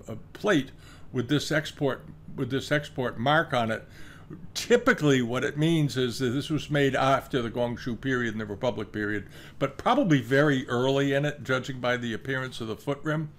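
An older man with a creaky, gravelly voice talks through auction items off the cuff. He pauses to think and often repeats his words as he goes, speaking as a seasoned host seated at home. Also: medium pitch. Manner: halting, repeats phrases, musing. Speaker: antiques auction commentator, host. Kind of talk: unscripted commentary on auction items. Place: furnished room at home, indoors.

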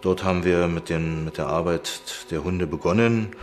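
A man's voice: sits low, moderate pace, faint music behind. A man speaking as a homicide investigator in an interview. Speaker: police homicide chief giving interview. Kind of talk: interview statement.

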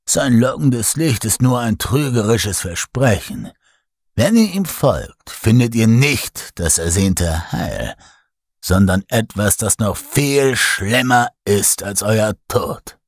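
A man voicing an old sailor storyteller with a dark, ominous voice, warning his shipmates in a horror audiobook. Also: on the low side, very animated, slow, breathy edge, no room sound, clean studio sound, heavily acted. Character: old male sailor storyteller, grim and foreboding.

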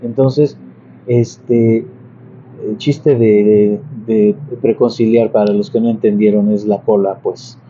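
A man with a low, very dark and mellow voice teaches informally. He talks in a chatty, halting way, drifting into asides. The recording is muffled, with clear noise behind him. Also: steady tone. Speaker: church organist and psalm-singing teacher. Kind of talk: informal video lesson with casual digressions. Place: large bright interior beside an organ.

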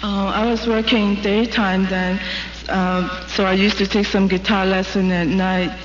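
A woman's voice: mid-range voice, flat delivery, measured pace. A woman from North China, raised in Hong Kong, telling her story hesitantly in a stage interview. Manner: hesitant, plain storytelling with fillers. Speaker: interviewee giving her experience. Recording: dull, hissy recording in an echoey hall.

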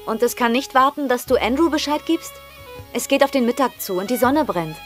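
An actress playing a naive, questioning farmer's wife of the 1850s in an audio drama. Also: female voice, sits high, animated, fairly quick, soft background music. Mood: questioning, concerned. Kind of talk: German audio drama acting.

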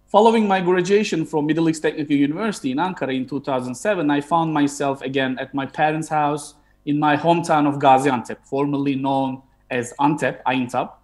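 A man in his thirties from Turkey with a Turkish accent, hosting an online book talk. He pauses often with fillers and restarts, speaking over a video call from a room at home. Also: on the high side. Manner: hesitant, frequent fillers and restarts. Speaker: historian and host.

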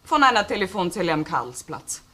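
A defensive female murder suspect in a crime radio play. Her voice is sharp and very animated in pitch. She answers evasively and defiantly, sounding cornered. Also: brisk, full character voice. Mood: evasive, agitated. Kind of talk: German-language crime radio play acting.

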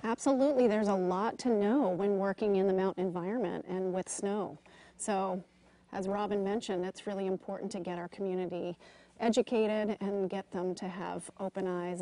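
Mellow-voiced earnest woman. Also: medium pitch, fairly even intonation, brisk. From Switzerland. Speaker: ski patroller guest. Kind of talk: TV interview answers. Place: TV studio set.

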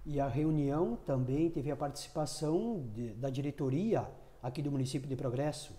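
A man's voice: mid-range voice, moderate pace, mellow. A male interviewer with a southern Brazilian accent, asking rambling questions. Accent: southern Brazilian Portuguese.

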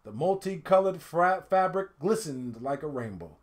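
A middle-aged man reading a picture book aloud in an animated, dramatic storytelling style, pausing to speak to listeners. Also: on the high side. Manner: expressive, dramatic swells and hushed asides to listeners. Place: indoor home studio room.

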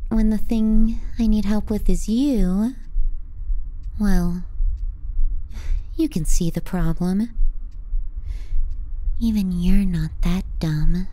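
A woman playing a possessive, menacing younger sister in an intimate ASMR roleplay, soft and breathy, often near a whisper. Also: medium pitch, low-quality recording. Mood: tender, teasing. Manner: slow, tender yet threatening. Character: possessive, menacing little sister murmuring to sleeping brother.

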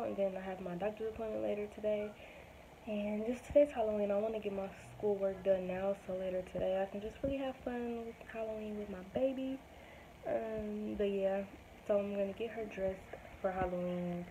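A woman's voice: medium pitch, dark tone, dull audio, slight background noise. A young woman vlogging casually to camera at home, rambling with many ums while losing her voice from a sore throat. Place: small home room indoors. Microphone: phone or webcam at arm's length.

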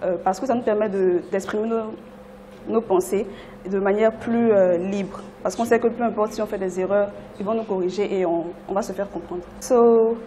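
A woman's voice: mid-range voice, fairly even intonation, normal speed, warm tone, slight background noise. Young Ivorian woman in an interview. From Ivory Coast. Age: 20s. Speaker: language student interviewee. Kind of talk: interview testimonial. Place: indoors at a language school. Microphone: lapel.

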